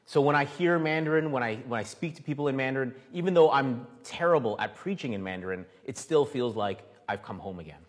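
A man from Canada preaching in a church hall, pausing phrase by phrase for an interpreter. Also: mid-range voice, expressive. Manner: halting, stops often for interpretation. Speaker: guest preacher. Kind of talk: sermon with live interpreter. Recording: echoey hall.

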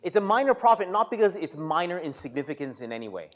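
A man preaches briskly in a slightly higher voice, his words tumbling out fast. He often restarts and repeats words, dropping in wry jokes at his own expense. The sound carries a long reverb from a church sanctuary. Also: big pitch swings. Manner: stop-start phrasing with repeated words, light self-deprecating humor. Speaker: guest preacher. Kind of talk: sermon delivered through an interpreter.

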